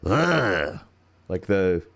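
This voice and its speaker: A man with a deep radio-style voice and an American accent, hosting a casual livestream and thinking out loud as he searches for words. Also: very animated, slow, gravelly. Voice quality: turns nasal when singing high.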